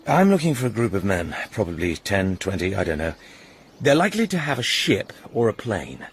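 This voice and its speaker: An actor playing a suave, probing British secret agent in a radio drama, husky and gravelly, with a cool, dry, flirtatious manner. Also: male voice, fairly low pitch, sing-song, fairly quick, slight background noise. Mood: probing, businesslike.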